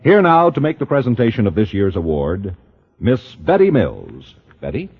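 Husky, swooping 1950s radio announcer man. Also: on the low side, wildly swooping pitch, normal speed. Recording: muffled, dull vintage radio broadcast.

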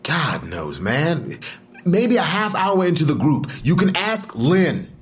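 A man's voice: a little high, big pitch swings, slow pace. A man playing an aggrieved, defiant man under police questioning in an audio drama, speaking colloquially and emphatically. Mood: exasperated. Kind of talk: full-cast audio drama acting.

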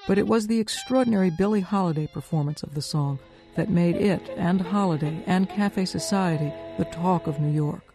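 A woman's voice: on the low side, normal speed, few pauses. A woman presenting a scripted radio documentary as host and narrator. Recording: music playing underneath. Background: music.

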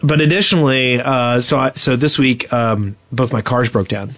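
A young man hosting a podcast, telling stories in a casual, animated way and acting out what people said. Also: medium pitch, moderate pace. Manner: animated, acts out quoted exchanges. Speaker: podcast host. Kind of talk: casual storytelling chat.

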